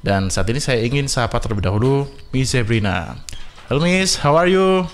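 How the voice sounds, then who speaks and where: A man's voice: mid-range voice, animated, fairly quick. Male radio host. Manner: switches between Indonesian and simple English, chatty. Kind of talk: bilingual radio talk show hosting. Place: radio studio.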